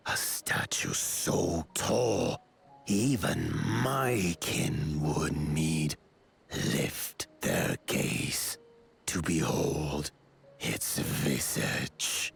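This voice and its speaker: Game giant elder, gravelly, husky, rumbling, lively rise and fall, formal old-fashioned speech. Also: male voice, soft background music, exaggerated voice. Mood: solemn. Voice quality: low gravelly voice. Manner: slow, formal, archaic speech. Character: towering ancient giant elder, solemn and grave.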